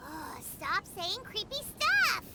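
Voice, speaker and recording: Very high, squeaky little companion. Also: female voice, wildly swooping pitch, takes it slowly, vocal fry, heavy background noise, full character voice. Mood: indignant. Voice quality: squeaky, childlike. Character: tiny childlike floating companion, squeaky, indignant, easily spooked. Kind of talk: video game character acting. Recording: loud game music behind.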